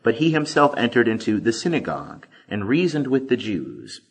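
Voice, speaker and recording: A man reading scripture as scripted audiobook narration, with expressive, deliberate emphasis. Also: mid-range voice, vocal fry, breathy edge. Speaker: scripture reader.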